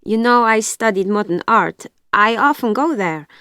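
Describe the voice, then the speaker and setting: A woman's voice: mid-range voice, lively intonation, normal speed, sharp tone, clean tone, no echo, studio quality. A woman explaining a building's history in a scripted textbook dialogue, informative and chatty. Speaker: speaker in a course dialogue.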